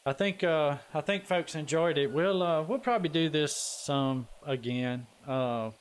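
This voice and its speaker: A man's voice: on the high side, measured pace, clean tone. A man chatting casually and folksily as he hosts a live gaming stream, saying y'all. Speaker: livestream host. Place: voice chat during an online game stream.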